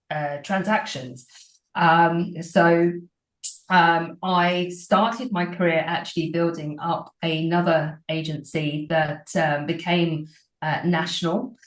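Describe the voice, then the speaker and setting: Earnest businesswoman, 40s, slightly low voice, roomy recording. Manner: earnest, many ums and restarts. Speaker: real estate agency owner and broker. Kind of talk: interview answers. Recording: roomy echo.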